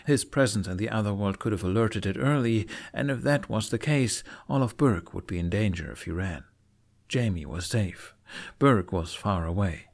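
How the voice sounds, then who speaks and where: Low-voiced man narrating, very animated delivery. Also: moderate pace, gravelly. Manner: expressive, theatrical. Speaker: fan fiction narrator. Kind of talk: dramatic audiobook-style story narration.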